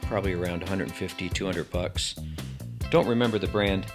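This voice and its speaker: A man's voice: low voice, fairly quick, over music. A man giving a casual, rambling product review as a channel host, with wry asides.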